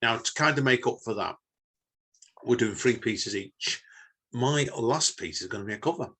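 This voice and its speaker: A man's voice: medium pitch, lively intonation, moderate pace, pushed and bright, slightly rough. A man with a Manchester-area Northern English accent, chatting as a podcast co-host in a rambling way full of tag questions. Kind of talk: casual podcast chat.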